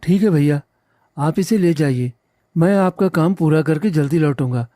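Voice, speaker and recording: Guy doing a gentle trusting young husband, very soft dark tone, earnest, cartoon village story. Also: fairly high pitch, moderate pace, no room sound, studio quality, close to an everyday voice. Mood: reluctant, trusting. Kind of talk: cartoon moral story acting.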